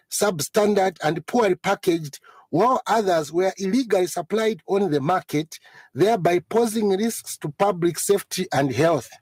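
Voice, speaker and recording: Male, high pitch, raspy edge, formal scripted statement delivery, dry sound. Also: darkish tone, no echo.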